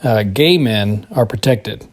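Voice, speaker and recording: A man's voice: lowish voice, expressive, slow. An American man giving casual monologue commentary, rambling and hesitant as he thinks aloud. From United States. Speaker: podcast contributor reviewing a TV episode.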